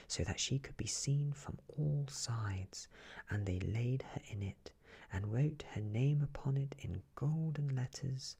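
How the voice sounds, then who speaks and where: Very husky, hushed male ASMR storyteller. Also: medium pitch. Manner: gentle, hushed, often slipping into whisper. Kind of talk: soft-spoken ASMR fairy tale reading.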